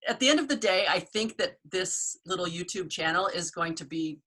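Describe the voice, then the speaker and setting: Bright-voiced American woman hosting a call. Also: lowish voice, animated, normal speed. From United States. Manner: chatty storyteller, warm laughs. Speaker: host. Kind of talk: casual video call chat. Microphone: video call.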